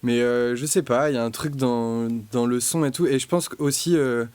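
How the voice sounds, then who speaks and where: Reflective young man, halting and dry. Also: mid-range voice, a little mellow, clean tone, dry recording. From France. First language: French. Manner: hesitant, many fillers and restarts, reflective. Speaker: guest musician. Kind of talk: casual podcast conversation.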